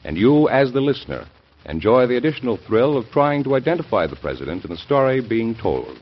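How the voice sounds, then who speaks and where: A man's voice: medium pitch, sing-song, brisk. A man announcing on 1940s radio, reading scripted copy in an earnest, formal, emphatic style. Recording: muffled vintage radio recording.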